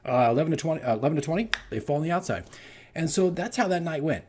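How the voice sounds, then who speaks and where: A man telling a casual, animated story as a game master on a vlog, rambling and repeating his phrases. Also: fairly high pitch, racing, a little hiss or hum. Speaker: tabletop game master recounting his campaign.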